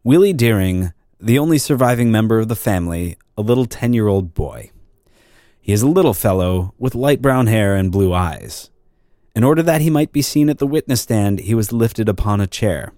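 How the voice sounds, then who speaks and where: A man's voice: fairly low pitch, expressive, normal speed, warm tone, no room sound, clean studio sound. A man reading scripted narration as a podcast host.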